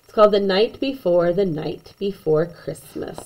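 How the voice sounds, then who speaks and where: A woman reading a children's picture book aloud in an expressive way, with chatty asides to young listeners. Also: fairly low pitch, low-quality recording. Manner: animated, slow and deliberate storytelling, chatting to young listeners.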